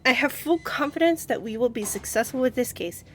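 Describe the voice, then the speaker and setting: A woman playing a composed, confident young lawyer and boss in an audio roleplay, with a measured, earnest delivery. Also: high voice, animated, a little hiss or hum.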